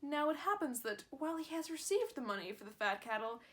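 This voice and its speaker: Pompous storybook master thief, slow and emphatic, read by a woman. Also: high voice, very animated, a bit bright. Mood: scheming. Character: proud master thief, grown man.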